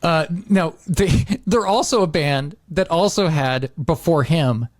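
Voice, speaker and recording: A man's voice: a little high, animated, unhurried, dry recording, clean studio sound. A man talking casually and with opinions, in the manner of a podcast host.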